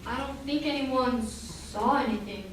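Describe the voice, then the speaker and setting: A woman playing a genteel, wealthy gentleman in old-time radio drama style. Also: fairly high pitch, unhurried, close to an everyday voice. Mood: genteel, troubled. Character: genteel wealthy male estate owner hosting a reunion. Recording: very echoey hall, distant mic, faint noise.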